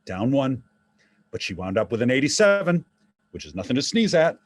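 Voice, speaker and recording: A man's voice: mid-range voice, big pitch swings, moderate pace, no room sound. An actor in a staged play reading, playing a wry, theatrical dead father obsessed with golf. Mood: wry, measured.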